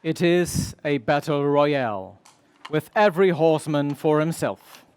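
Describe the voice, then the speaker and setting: A man's voice: a little high, measured pace, a little mellow, smooth, no room sound. A man narrating an adventure radio serial in a theatrical, suspenseful scripted style.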